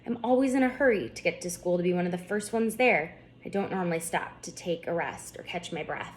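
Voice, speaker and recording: A woman voicing an excitable, curious young schoolgirl in a storybook, eager and earnest. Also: on the low side, fairly quick, lightly acted, poor audio. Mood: sheepish. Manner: eager, wide-eyed, earnest.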